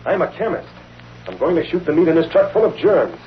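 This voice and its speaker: An actor playing a cool, calculating criminal chemist in an old-time radio crime drama. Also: male voice, on the high side, very animated, quick pace. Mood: cool, scheming. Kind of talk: 1930s-40s radio crime drama acting. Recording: muffled, hissy old radio recording.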